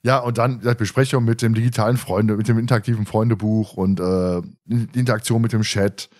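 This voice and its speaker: A man's voice: fairly low pitch, fairly even intonation, a little fast, darkish tone. Chatty male podcast host. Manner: chatty, often chuckles while telling anecdotes. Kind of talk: casual podcast chat.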